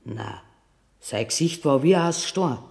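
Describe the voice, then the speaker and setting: An actress playing a protective, chatty hospital nurse with a Bavarian accent and a homely, solicitous manner in a radio drama. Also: female voice, rumbling low, animated, takes it slowly, gravelly, darkish tone, full character voice. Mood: concerned, deferential.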